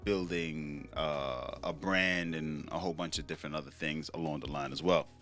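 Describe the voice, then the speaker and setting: A man's voice: a bass voice, fairly even intonation, normal speed, a bit bright, faint music behind. A young man from Trinidad hosting a solo podcast, talking in a laid-back, rambling way with many fillers and casual swearing. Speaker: podcast host.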